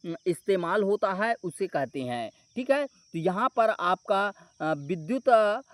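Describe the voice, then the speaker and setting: A man's voice: sits high, very animated, a little slow, no room sound. A man explaining exam questions and answers as a coaching teacher, restating points and checking in with listeners. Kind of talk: explanatory exam solution walkthrough.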